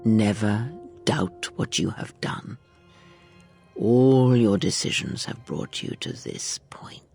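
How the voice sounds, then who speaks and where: An older woman playing a weary, cryptic and manipulative mentor in a video game, speaking in slow, solemn measured phrases. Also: a bass voice, creaky, darkish tone, breathy edge, faint music behind, full character voice. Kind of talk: video game dramatic acting.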